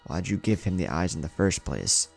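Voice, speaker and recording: A man voicing a calm, blunt young ninja leader in an anime story, curt and nonchalant. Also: deep voice, normal speed, creaky, soft background music, heavily acted.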